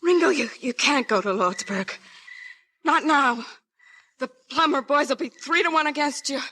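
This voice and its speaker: A woman's voice: sits high, unhurried, pushed and bright. An actress playing a troubled, tender young frontier woman in old radio melodrama, with an American accent and an emotional manner. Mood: anxious pleading. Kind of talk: 1930s-40s radio melodrama acting.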